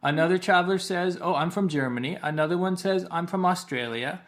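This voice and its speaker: A Canadian man presenting an opinion video in a wry, measured way, with dry jokes. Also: sits high, a bit bright.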